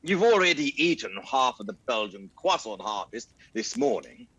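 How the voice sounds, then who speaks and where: An actor playing a proper, pompous British gentleman in a comic radio play, with theatrical delivery and a British accent. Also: mid-range voice, big pitch swings, moderate pace, breathy edge, highs cut off, heavily acted. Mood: grave, dry. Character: indignant, proper British gent, detective's companion.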